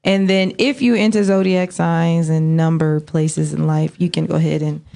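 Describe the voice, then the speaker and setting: A woman's voice: deep voice, fairly even intonation, moderate pace, creaky, mellow. A woman hosting a casual beauty talk show indoors, joking and laughing with loose filler-heavy speech. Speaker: host. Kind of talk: casual chat. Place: indoor set, table of guests.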